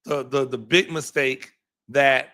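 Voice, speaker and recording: A hoarse man hosting a live postgame football reaction show, rambling and repeating words, with many ums. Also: medium pitch, fairly even intonation, takes it slowly, pushed and bright. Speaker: podcast host and high school football coach.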